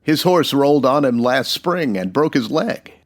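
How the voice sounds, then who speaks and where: A man playing a steady, decent United States marshal in an old-time radio western, plainspoken and folksy. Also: mid-range voice, measured pace, close to an everyday voice. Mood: grave, disapproving.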